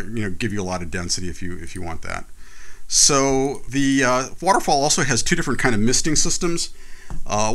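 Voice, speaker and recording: A man hosting a casual software tutorial, talking through a screen recording in a relaxed, chatty way with many fillers. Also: medium pitch. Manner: relaxed, chatty, frequent "uh" and "you know".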